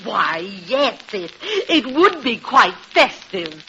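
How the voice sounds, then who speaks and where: A woman's voice: on the high side, very animated, slow pace, exaggerated voice. An actress playing a genteel, formal female college dean in a vintage radio sitcom. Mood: gracious. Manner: formal, precise, proverb-quoting. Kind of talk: 1950s radio sitcom acting. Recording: very noisy, muffled old radio recording.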